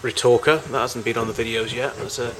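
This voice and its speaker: A man with a mid-range voice talks through a collection in an informal, unscripted way. His delivery is halting and rambling, and he often corrects himself as he goes. He sounds like a hobbyist showing things off piece by piece. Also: medium pitch, fairly even intonation, a bit bright. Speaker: collector showing vintage tape recorders. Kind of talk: informal unscripted show-and-tell commentary.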